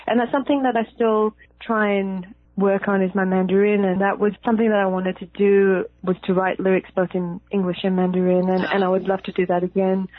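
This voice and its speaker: A woman's voice: medium pitch, no echo. A woman raised in Australia whose first language was Hokkien, talking reflectively as a musician guest in a casual interview, full of "you know" and "like", heard over a thin phone line.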